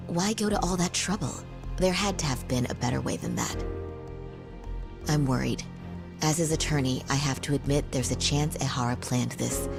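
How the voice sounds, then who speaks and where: Very low-voiced, composed attorney woman. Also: vocal fry. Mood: concerned, determined. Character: serious, composed female defense attorney. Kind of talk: video game cutscene dub dialogue. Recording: game music under the voice. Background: music.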